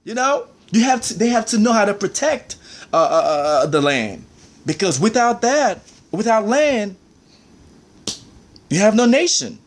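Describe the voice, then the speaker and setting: A man's voice: high voice, very animated, measured pace. A man ranting in a heated, preachy monologue, peppered with "man" and "I mean".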